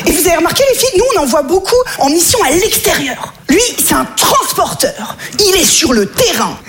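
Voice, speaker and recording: A woman does stand-up comedy, chatting straight to the audience in a high, bouncy voice that swoops up and down. Her voice rings in an echoey room with faint ambience behind it. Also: big pitch swings, fairly quick, a bit bright, slight background noise. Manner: chatty, addresses the audience directly. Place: comedy stage before a live audience.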